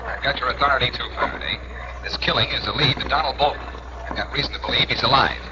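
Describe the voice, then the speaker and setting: An actor playing an insistent, earnest amateur detective pleading for help in a 1940s radio drama. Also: male voice, mid-range voice, fast talker, natural voice. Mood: persuasive. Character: urgent, pleading male amateur detective in a jam. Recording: very noisy old radio recording.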